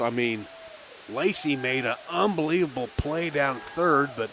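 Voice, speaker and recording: A man calling a minor league baseball game on local radio, chatting casually between pitches. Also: a little hiss or hum, rough recording. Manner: relaxed folksy chatter between pitches, rising excitement on big plays. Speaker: play-by-play announcer.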